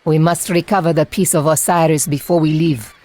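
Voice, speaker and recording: A woman's voice: low voice, fairly even intonation, fairly quick, warm tone, no room sound. A woman playing a resolute, commanding warrior leader in a video game cutscene, speaking firmly. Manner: firm, commanding. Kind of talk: scripted game cutscene dialogue.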